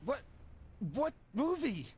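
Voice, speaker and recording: A man's voice: very high-pitched, big pitch swings, takes it slowly, heavy background noise, heavily acted. A man voicing a nervous, bewildered young man in a video game cutscene, stammering and hesitant. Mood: awkward, confused. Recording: music under the voice.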